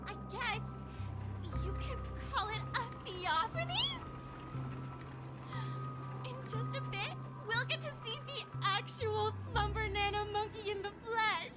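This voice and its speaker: An actress voicing an excitable young female student who talks with eager, gushing enthusiasm. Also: very high-pitched, takes it slowly. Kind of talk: game cutscene dialogue. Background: loud game music.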